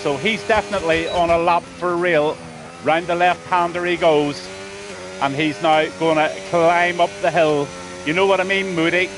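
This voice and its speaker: A man's voice: a little high, fairly even intonation, normal speed, noisy background. A man with a Scouse accent commentating a sim race on a live stream, greeting viewers by name and letting his voice trail off at the ends of lines.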